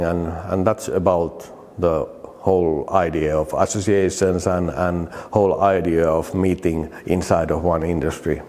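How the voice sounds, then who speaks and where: Man, Finnish accent, very deep pitch, halting restarts, interview, long hall reverb. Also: darkish tone. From Finland. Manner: halting, many repeated words and restarts. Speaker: demolition company director. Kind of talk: interview answers.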